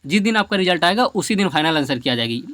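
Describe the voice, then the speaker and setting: A man's voice: on the high side, sing-song, fast, a bit bright, no room sound. A man giving an informal, emphatic explainer to students as an exam coach, often repeating his points for stress. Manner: repetitive, emphatic, advising.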